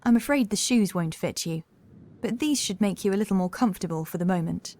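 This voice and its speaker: A woman's voice: medium pitch, fairly quick, dark tone, close to an everyday voice. A woman playing a gracious, insistent housekeeper in a horror audiobook, speaking with polite, proper manners. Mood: pleased, polite. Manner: polite, genteel, proper phrasing. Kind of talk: acted audiobook dialogue.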